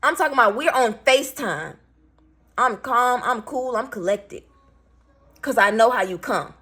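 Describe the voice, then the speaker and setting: A raspy-voiced woman in her thirties, a single parent venting bluntly and with swearing as she tells a story to her viewers. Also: fairly high pitch, lively intonation, unhurried, bright, forceful tone. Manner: blunt, profane, emphatic.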